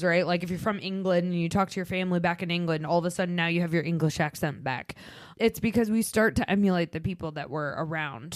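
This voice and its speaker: Chicago woman podcast cohost, kinda low voice, dead dry mic, blunt and chatty. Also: no echo. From Midwestern United States. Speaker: podcast co-host. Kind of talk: casual podcast chat.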